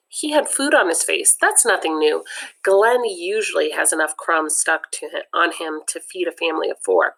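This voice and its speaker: A woman's voice: fairly low pitch, creaky, a bit bright, poor audio. A woman reading a children's comic book aloud, breaking off now and then to add her own chatty comments. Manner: chatty, adds personal comments between passages. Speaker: read-aloud narrator.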